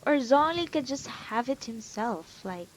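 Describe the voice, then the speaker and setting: Slow, casual woman gamer, fairly high. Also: expressive, a little hiss or hum, rough recording. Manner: giggly, informal, musing. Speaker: gaming video host. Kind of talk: casual playthrough commentary.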